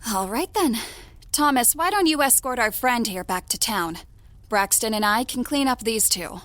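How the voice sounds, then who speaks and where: A woman playing a composed, authoritative knight captain who speaks calmly and firmly in a fantasy audio drama. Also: fairly high pitch, moderate pace, no echo. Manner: firm, calm, commanding.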